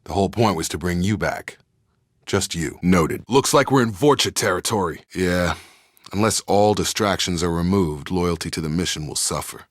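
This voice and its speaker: A man's voice: deep voice, normal speed, no echo, clean studio sound. A man playing a loyal, guarded soldier in a video game, speaking in clipped, matter-of-fact military phrases. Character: steady, loyal male soldier, guarded and plainspoken. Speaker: video game squad member. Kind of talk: scripted video game dialogue.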